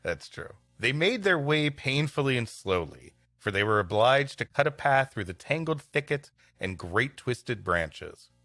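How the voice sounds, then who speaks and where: Guy reading old tales and bantering on a comedy podcast, forceful bright voice, music under. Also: medium pitch, very animated, unhurried, hardly any pauses, dry recording, clean studio sound. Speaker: comedy podcast co-host and story reader. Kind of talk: scripted story reading mixed with casual banter.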